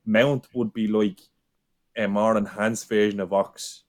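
A man on a casual football chat who talks in a relaxed way, thinking aloud. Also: lowish voice, unhurried. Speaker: panelist.

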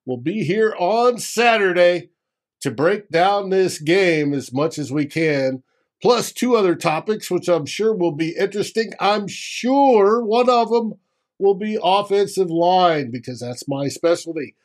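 A man's voice: high-pitched, animated, measured pace. A man co-hosting a sports podcast, wordy and reading out sponsor plugs. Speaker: podcast co-host. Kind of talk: casual chat.

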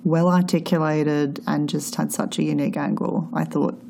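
A very low, gravelly woman's voice with a dark, mellow tone. She co-hosts a book podcast and reflects on her reading in a casual way. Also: little pitch movement, normal speed, slight background noise. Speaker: podcast co-host.

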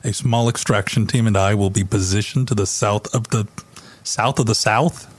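A man's voice: fairly low pitch, fairly even intonation, moderate pace, husky, no room sound. A man recording a long line again and again as he stumbles on it. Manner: repeats a long line, trips on it. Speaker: voice actor recording lines.

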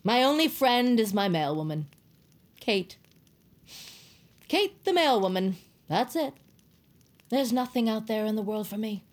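A woman playing an eccentric, bitter, reclusive novelist with a grand, deliberate, wordy manner in an audio drama. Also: on the high side, animated. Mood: defiant. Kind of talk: scripted audio drama acting.

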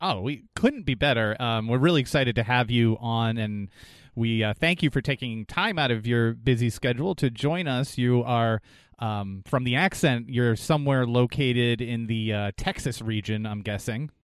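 A man's voice: medium pitch, normal speed, clean tone, no echo, studio quality. A man with a New England accent co-hosting a podcast, chatting casually and rambling with fillers. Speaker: podcast co-host.

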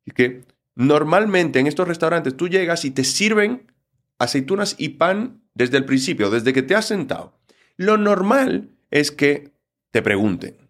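Man explaining with lively emphasis. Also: on the high side, measured pace. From Dominican Republic. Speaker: communication trainer, guest. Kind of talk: conversational interview answers.

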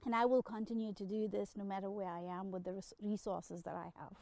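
A South African woman speaking as an interview guest, earnest and heartfelt, pausing often with fillers. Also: mid-range voice, fairly even intonation, darkish tone, dry recording. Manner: halting, many filler pauses, heartfelt.

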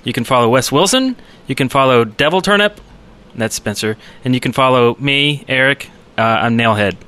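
A man's voice: medium pitch, animated, normal speed, pushed and bright, clean tone. A man co-hosting a podcast in casual, chatty conversation. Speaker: podcast co-host. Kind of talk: casual chat.

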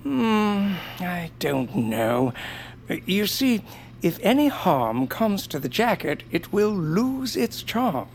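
A woman playing a genteel, slyly mysterious male tailor creature in a family audio comedy, courteous and theatrical, with drawn-out musing. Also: mid-range voice, sing-song, a little hiss or hum. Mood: courteous, intriguing.

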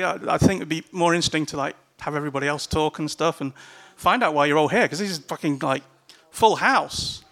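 A man's voice: fairly high pitch, pushed and bright. A man speaking casually and loosely to a packed meeting room, urging the crowd with some swearing and laughs. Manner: loose and chatty, begging with laughs. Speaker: panel speaker at a political event.

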